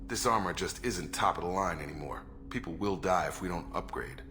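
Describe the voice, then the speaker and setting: An actor playing a steady, proud ex-military crew officer in a video game, plain-spoken and matter-of-fact. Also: male voice, sits low, a little fast, soft background music. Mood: businesslike. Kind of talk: video game character acting.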